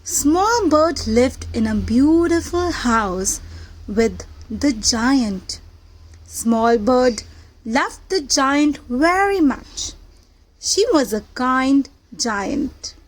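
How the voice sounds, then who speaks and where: A woman reading a picture book aloud to young children, with simple deliberate phrasing and questions to the listeners. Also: sits high, animated, poor audio. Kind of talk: children's read-aloud storytelling.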